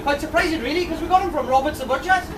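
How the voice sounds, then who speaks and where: Man playing a high-voiced, eager pantomime manservant. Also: rapid-fire. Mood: cheerful, deferential. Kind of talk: amateur pantomime stage acting. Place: live amateur show at a tavern venue. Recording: distant echoey room, loud crowd noise.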